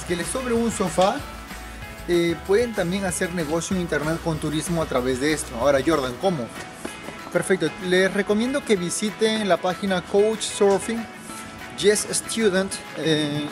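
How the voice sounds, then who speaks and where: Male travel vlogger, twenties, high-pitched, instructive and informal, recorded on city streets over music. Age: 20s. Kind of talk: informal advice vlog to camera. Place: outdoors in city square and narrow streets. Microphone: camera at arm's length.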